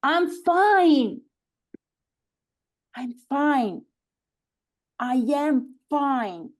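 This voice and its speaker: A woman acting a frantic, sharp-tongued woman in a live screenplay table read, halting and repeating herself under stress. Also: sits high, lively intonation, full character voice. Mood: exasperated, tense.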